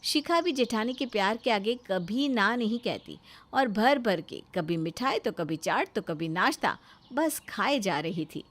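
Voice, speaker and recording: A woman's voice: mid-range voice, animated, measured pace. A woman narrating a scripted moral story as a storyteller.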